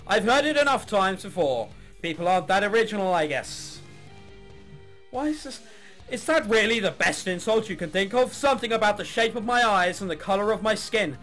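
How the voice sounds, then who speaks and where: Male let's player, high-pitched and very animated, sarcastic, sweary, music underneath. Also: moderate pace. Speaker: let's play host. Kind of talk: let's play commentary, reading game text aloud.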